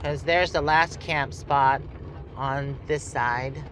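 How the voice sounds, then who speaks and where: A man's voice: mid-range voice, steady tone, slow pace. A man hosting a casual travel vlog, chatting and thinking aloud as he drives, recorded inside a vehicle with road noise. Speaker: host.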